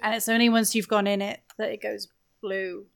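A woman's voice: medium pitch, little pitch movement, normal speed, gravelly. A woman chatting casually about a video game as a podcast guest.

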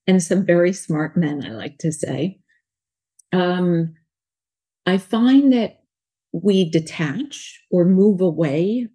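A woman with a New York accent talks about her coaching work. Her voice sits low and a little husky, with a soft, mellow tone. She wanders through her thoughts earnestly, often restarting mid-sentence. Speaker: guest, life coach. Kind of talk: casual interview talk.

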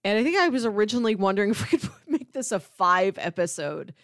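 A woman's voice: mid-range voice, very animated, fast talker, a bit bright, dry recording, studio quality. A woman co-hosting a casual podcast, explaining things informally with many asides. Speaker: podcast co-host. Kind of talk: casual chat about sitcom episodes.

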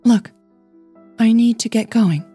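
A woman's voice: a little high, takes it slowly, mellow, husky, dry recording, voice pushed up. Lady doing angry woman in audio drama, curt n edgy, clipped lines, faint music behind. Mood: curt, tense. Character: Millie, angry, tense young woman singer lashing out.

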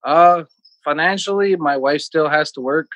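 A man's voice: on the high side, moderate pace, fairly bright tone, no room sound. A man in his thirties from Minnesota, giving casual, conversational answers as an interview guest. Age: 30s. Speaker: interview guest, casino team supervisor.

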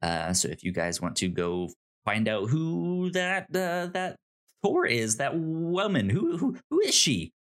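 Animated man hosting a podcast. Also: on the high side, a little slow. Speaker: podcast host. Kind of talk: casual podcast chat and housekeeping plugs.

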